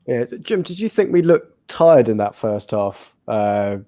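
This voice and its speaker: A man's voice: medium pitch, sing-song, a little slow, mellow. A man with a Northern English accent hosting a football podcast, leading the talk in an easygoing way. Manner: easygoing, leads the conversation. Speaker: podcast host. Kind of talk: casual football chat.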